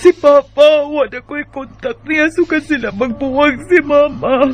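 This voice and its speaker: A woman's voice: high-pitched, a little slow. A woman with a Visayan Filipino accent playing an emotional, vulnerable young woman in a radio drama. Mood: grieving.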